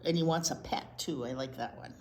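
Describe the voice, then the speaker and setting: A woman's voice: extremely low pitch, a little fast, a little mellow. A woman presenting storytime, chatting and reading aloud for young children. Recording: roomy echo, noticeable room noise.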